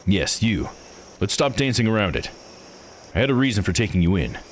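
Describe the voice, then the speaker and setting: A man voicing a blunt, plain-spoken man from a visual novel game, gruff and probing. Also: lowish voice, big pitch swings, a little fast, a little hiss or hum. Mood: stern, accusing.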